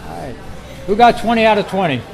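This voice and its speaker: A man's voice: high-pitched, expressive, fast talker, gravelly, a faint crowd. A man hosting a quiz live at a club meeting, calling out to the members in an amateur recording.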